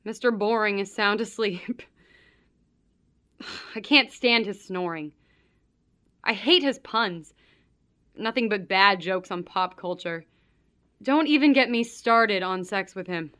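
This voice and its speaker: A woman's voice: mid-range voice, slow, pauses often, sharp tone, clear, resonant tone. A woman playing an unfaithful, scornful, easily panicked wife in a horror audio drama, with intense dramatic delivery. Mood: intimate, hushed.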